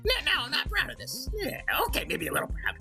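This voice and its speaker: Casting: a sly, smirking male goblin talk host, animated and gravelly, rambling through self-justifying comedy banter. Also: high voice, quick pace, music in the background, full character voice. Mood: shamelessly unrepentant. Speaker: radio call-in host. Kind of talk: comedic in-character monologue and banter.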